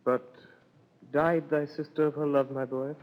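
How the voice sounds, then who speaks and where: A man's voice: on the high side, little pitch movement, a little slow, natural voice. A man playing a lovesick, commanding duke, with grand verse delivery in 1930s radio Shakespeare style. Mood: tender, probing. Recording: dull, echoing 1930s radio recording.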